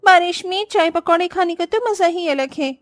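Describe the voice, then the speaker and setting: A woman's voice: very high-pitched, normal speed, no echo, studio quality, soft background music. A woman voicing a demanding, gluttonous sister-in-law in a cartoon moral story, with a whiny, entitled manner.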